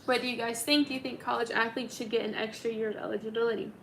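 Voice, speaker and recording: Young woman, twenties, casual livestream chat, talks through comments, higher voice, phone mic. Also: little pitch movement, fast, creaky, fairly bright tone, a little hiss or hum, poor audio. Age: 20s. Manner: chatty, answers comments as they come, many ums. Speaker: livestream host.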